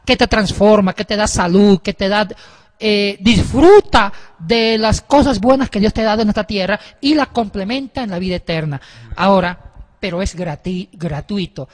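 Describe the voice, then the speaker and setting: High-pitched man teaching in echoey room. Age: middle-aged. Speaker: panel guest explaining doctrine. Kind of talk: didactic religious explanation. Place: wood-panelled indoor studio or meeting room. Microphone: handheld microphone.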